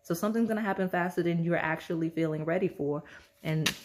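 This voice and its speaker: Hoarse woman reading tarot cards. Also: on the low side, quick pace, background noise. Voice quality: slight rasp. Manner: chatty, repeats "okay?" often. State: hoarse, losing her voice. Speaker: tarot reader. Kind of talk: casual pick-a-card tarot reading.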